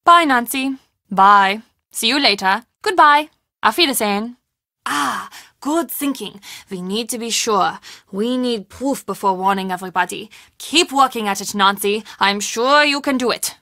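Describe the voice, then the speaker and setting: A woman's voice: a little high, expressive, unhurried, bright, forceful tone, dry recording, clean studio sound, exaggerated voice. A woman acting a theatrical castle woman in scripted mystery game dialogue, with an emphatic, dramatic delivery. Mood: worried, earnest. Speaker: voice actor in a mystery adventure game.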